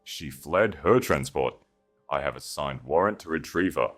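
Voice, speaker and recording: A man's voice: very deep voice, animated, moderate pace, fairly bright tone, faint music behind, exaggerated voice. A man voicing a sneering, cruel royal enforcer in an audiobook, menacing and commanding. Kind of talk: audiobook character voice.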